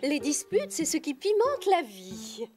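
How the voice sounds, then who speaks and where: A woman's voice: sits high, huge pitch swings, unhurried, over music, exaggerated voice. A woman voicing a haughty, drawling teenage girl in a French cartoon dub. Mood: languid, amused.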